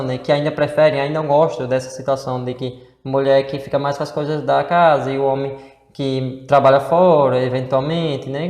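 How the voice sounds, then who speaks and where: A man's voice: fairly high pitch, a little mellow. A man with a Northeastern Brazilian accent, co-hosting a casual chat and explaining at length with rhetorical questions. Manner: rambling, many fillers and rhetorical questions. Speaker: co-host. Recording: echoey room with long reverb.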